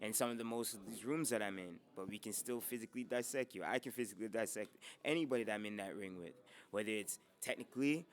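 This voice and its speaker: A man's voice: fairly low pitch, fast. A man, a wrestler, speaking enthusiastically and laughing often in a casual interview.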